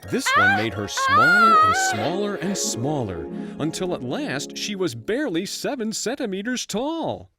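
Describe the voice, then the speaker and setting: A storyteller narrating a bedtime fairy tale in an expressive, playful storybook manner. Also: medium pitch, measured pace, over music.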